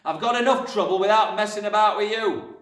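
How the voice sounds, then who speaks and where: A man acts out a bullying storybook father with a raised, forceful pitch. He is gruff and impatient, barking curt orders at full volume. The recording is roomy and a little distant from the microphone. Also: slow, full character voice. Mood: angry, shouting. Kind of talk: storybook character voice.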